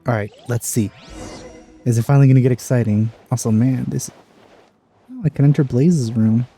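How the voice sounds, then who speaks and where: A man's voice: medium pitch, moderate pace, mellow, soft background music. A man hosting a let's play, making wry, sarcastic asides as casual gameplay commentary.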